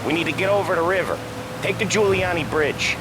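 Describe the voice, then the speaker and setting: A man's voice: high voice, a little fast, very noisy, close to an everyday voice. A man with an American accent playing a curt, commanding, threatening 1930s gangster in a crime game. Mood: curt, menacing. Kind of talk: cinematic game acting, 1930s crime drama.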